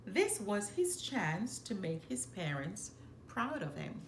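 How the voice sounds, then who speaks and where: A woman reading a children's story aloud in a deliberate, expressive storytelling manner. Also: medium pitch. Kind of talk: expressive scripted read-aloud narration for children. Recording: roomy echo, faint background noise.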